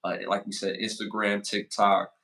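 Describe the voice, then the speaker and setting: A man in his forties hosting a casual podcast, giving motivational advice and shout-outs, repeating phrases for emphasis. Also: lowish voice, level tone. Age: 40s. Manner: hypes the chat with rapid shout-outs. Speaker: podcast host.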